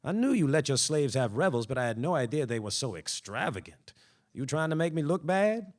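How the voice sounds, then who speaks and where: A man's voice: fairly high pitch, very animated, fairly quick, voice pushed up. Man acting a haughty, goading plantation master. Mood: mocking. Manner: goading, imperious. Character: haughty, taunting young male plantation master. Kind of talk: dramatic audiobook-style reading.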